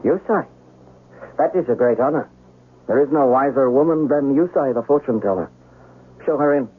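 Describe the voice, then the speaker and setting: A man's voice: mid-range voice, normal speed, breathy edge, close to an everyday voice. A man playing a proud, devoted young samurai master in a radio drama, formal and earnest. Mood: courteous. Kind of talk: old-time radio drama acting. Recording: muffled radio recording with faint noise.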